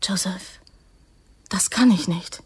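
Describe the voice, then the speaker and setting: A young recruit in a radio drama, torn by love and both wry and vulnerable. Her voice is breathy and often hushed, at a middling pitch, very close and dry. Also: slow pace, no echo. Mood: hesitant. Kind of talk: German radio play acting.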